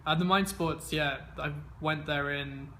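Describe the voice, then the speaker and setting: Young man in his twenties from England, easygoing interviewee, bright tense tone, fairly high and flat, faint background hum. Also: measured pace. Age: 20s. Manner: easygoing, many fillers and restarts. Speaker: interviewee, games player. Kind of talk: casual interview answers.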